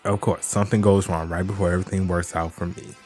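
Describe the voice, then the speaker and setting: Man reading a weary, earnest warrior hero, lowish voice. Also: level tone, fast, darkish tone, faint music behind, natural voice. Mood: weary, resigned. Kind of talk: webtoon dialogue read aloud.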